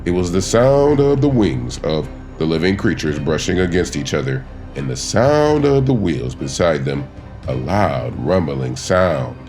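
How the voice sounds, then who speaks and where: A man narrating a dramatized scripture audiobook in a solemn, measured and grave manner. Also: rumbling low, very animated, a little mellow, soft background music.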